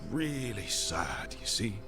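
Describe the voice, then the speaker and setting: A man's voice: fairly low pitch, big pitch swings, slow pace, over music, heavily acted. An actor playing a blunt male adventurer in a fantasy video game, speaking in a pointed, earnest way. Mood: bitter sarcasm.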